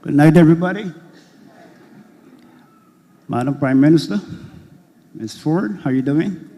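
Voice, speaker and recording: A hoarse man with a mellow, slightly high voice speaks up from the audience. He is earnest and a bit rambling, and the long echo of a large hall trails behind his words. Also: a little slow. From Barbados. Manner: earnest, rambling, with frequent fillers. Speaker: audience member asking questions. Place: school hall at a public meeting.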